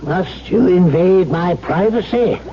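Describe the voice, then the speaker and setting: An actor playing a grave, fearful old alchemist in a 1970s radio drama, halting and foreboding. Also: male voice, sits high, expressive. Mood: guarded, reluctant. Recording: dull, noisy 1970s radio broadcast.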